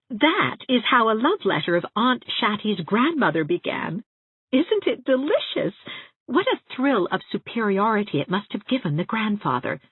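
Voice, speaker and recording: A woman voicing a spirited, affectionate young schoolteacher in an audiobook of her letters, with an expressive, whimsical delivery. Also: medium pitch, breathy edge, voice pushed up. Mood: playful, tender. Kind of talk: audiobook reading of first-person letters. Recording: boxy room echo, muffled narrow-band sound.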